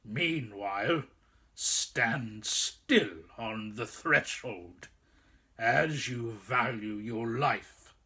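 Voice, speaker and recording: A storyteller voicing a menacing grey wolf guard, growling and slow. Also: mid-range voice, pushed and bright, breathy edge, voice pushed down, heavily acted. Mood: threatening. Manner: slow, threatening. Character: menacing grey wolf, chief of secret police.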